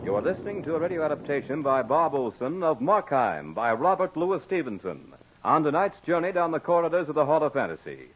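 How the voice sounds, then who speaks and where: A man's voice: a little high, big pitch swings, a little fast, a little hiss or hum. A man narrating and announcing a 1940s radio horror drama in a formal, portentous, dramatic style. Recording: muffled old radio recording, faint music.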